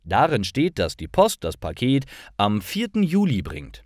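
A man's voice: mid-range voice, huge pitch swings, measured pace, no echo, clean studio sound, voice pushed up. A man voicing an earnest, upset young woman in a storybook for learners, speaking carefully.

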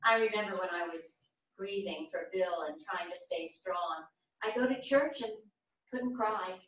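A woman's voice: lowish voice, measured pace, fairly bright tone, slight background noise. A woman playing a free-spirited widow with Zen leanings in a staged play reading, recorded in a theater. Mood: wistful. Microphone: far camera. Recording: echoey theater, distant camera microphone.

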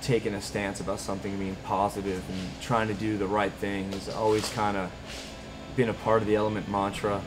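Low, flat-voiced thoughtful man. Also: brisk, background noise. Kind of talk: interview statement. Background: background music.

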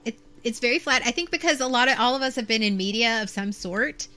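Giggly podcast lady, bright sharp voice, neutral american accent, teasing. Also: a little high, fast talker. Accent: neutral American, trained out of Southern. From American South. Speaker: podcast co-host. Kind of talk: casual podcast chat.

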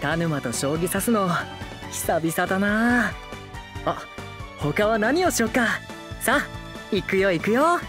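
A whiny, playful young lord from a comedy anime. He wheedles in a sing-song, pouty way, affectionate and idle, with music clearly playing behind him. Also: sits high, very animated, slow pace, long pauses, heavily acted. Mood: gleeful. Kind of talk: anime voice drama comedy acting.

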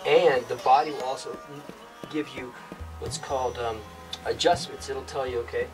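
A man's voice: mid-range voice, fairly even intonation. Rambling man teaching yoga in a cave. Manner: meandering, halting, with many restarts and fillers. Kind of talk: rambling spiritual teaching monologue. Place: shallow rock cave outdoors. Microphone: far camera. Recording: distant mic with music behind. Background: instrumental music.

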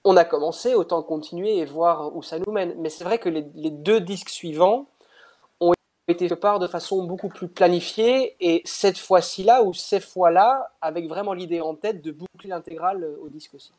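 A man's voice: high-pitched, moderate pace, a little mellow. Hesitant young man on a video call. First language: not French. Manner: thoughtful, hesitant, frequent restarts. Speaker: pianist interviewed. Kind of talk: casual interview over video call. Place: indoor room at home. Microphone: headset on video call.

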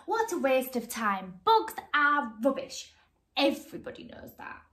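A woman's voice: high-pitched, sing-song, unhurried, echoey room, full character voice. A woman doing an evil sneering pea. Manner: sneering, loud. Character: evil scornful male pea, a bully.

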